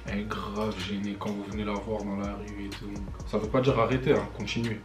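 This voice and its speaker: A man's voice: lowish voice, flat delivery, brisk, roomy sound, music in the background. A young man from France chatting with his wife on a couples vlog, talkative and full of street slang. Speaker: vlogger's husband. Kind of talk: casual couple Q&A chat. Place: living room with fireplace screen.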